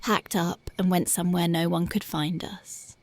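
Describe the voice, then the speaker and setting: A woman voicing a tough but devoted young punk girlfriend in an ASMR roleplay, talking softly and intimately close to the mic, often dropping to a whisper. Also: on the low side, fairly even intonation, moderate pace, no echo, lightly acted. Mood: tender, dreamy. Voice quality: soft, intimate, partly whispered. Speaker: voice actress.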